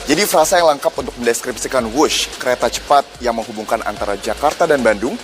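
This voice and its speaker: A man's voice: medium pitch, fast talker, music in the background. A man presenting scripted news in a formal anchor style.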